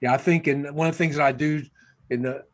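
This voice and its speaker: A man's voice: fairly high pitch, fairly even intonation, fast talker. A man speaking earnestly as a panelist on a video call, with frequent restarts. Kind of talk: panel discussion.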